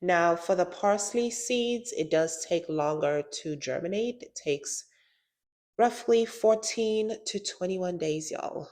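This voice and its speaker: A woman's voice: lowish voice, lively intonation, slow pace, a little mellow. A woman with a stuffy nose from a cold, chatting casually through a gardening how-to vlog and saying "y'all" often. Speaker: gardening vlogger.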